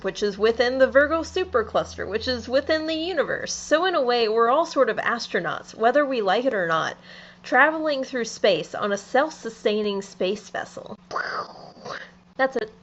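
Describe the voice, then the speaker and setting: A young woman, about to turn twenty, talks to her camera in a sleepy, musing vlog monologue. Her voice sits at an ordinary pitch for a woman. The home recording sounds a little dull and muffled. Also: fairly bright tone. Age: young adult. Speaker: vlogger. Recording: slightly dull home video audio.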